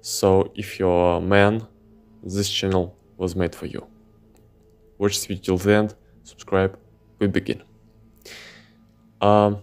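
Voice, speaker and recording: Slow-talking man, halting English. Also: fairly low pitch, darkish tone, clean tone. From Ukraine. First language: Russian. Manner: halting non-native English with many fillers. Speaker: fitness channel host. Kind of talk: casual podcast monologue. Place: indoors, seated on pillows.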